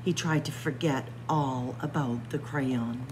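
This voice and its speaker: A woman librarian reading a picture book aloud with dramatic, expressive storytelling and spooky whispers, in a library. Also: a bass voice, a little slow, noisy background. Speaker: children's librarian. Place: library with bookshelves.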